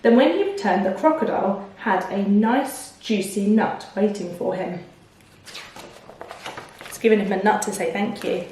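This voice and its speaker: A woman's voice: medium pitch, moderate pace, darkish tone, slight background noise. A woman reading a children's story like a teacher, expressively, stopping to put questions to young listeners. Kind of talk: children's storybook narration with asides. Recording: very echoey room, distant microphone.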